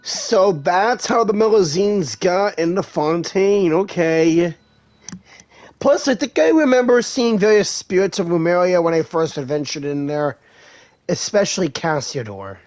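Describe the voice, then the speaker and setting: A woman's voice: lowish voice, lively intonation, a little slow, darkish tone, no room sound. A woman streamer giving casual reaction commentary over gameplay, with informal asides at the game. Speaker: gaming streamer.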